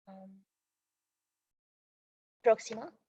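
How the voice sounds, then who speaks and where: Halting, slow-speaking woman presenting slides. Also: warm tone. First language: not Spanish. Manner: halting, frequent fillers and self-corrections. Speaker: webinar presenter.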